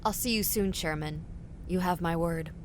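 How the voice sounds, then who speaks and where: A woman's voice: lowish voice, moderate pace, noisy background, close to an everyday voice. A woman playing a smug, menacing spy leader. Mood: mocking. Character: cold female infiltrator spy leader. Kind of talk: audio drama acting.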